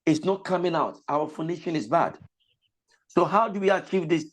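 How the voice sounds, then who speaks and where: A man, a pastor and vocal lecturer, teaching an online voice class over a video call. He has a cold that roughens his voice, explains slowly, repeats his points and breaks into sung examples. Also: on the high side. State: has a cold, voice slightly lost.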